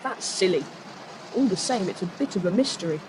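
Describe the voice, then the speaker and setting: An actor voices a sensible, take-charge schoolboy in a children's audio drama. The voice is brisk and well-spoken, at a medium pitch. Noisy ambience runs clearly behind it. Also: lively intonation, darkish tone, lightly acted. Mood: brisk, intrigued. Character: sensible, confident schoolboy, leader of young adventurers.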